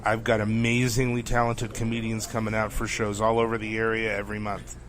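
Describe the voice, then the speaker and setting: A man who runs comedy shows, plugging himself in a relaxed, easygoing way during an interview. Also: on the low side, brisk. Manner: self-promoting, easygoing. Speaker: comedy show producer. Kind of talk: casual interview chat. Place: pizzeria comedy venue.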